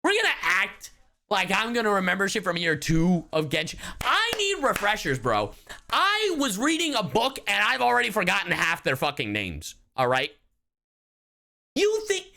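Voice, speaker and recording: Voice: medium pitch, sing-song, moderate pace, creaky, bright, forceful tone. A streamer giving casual live commentary in an exasperated, slangy, profanity-heavy way. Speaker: streamer reacting to game voice lines.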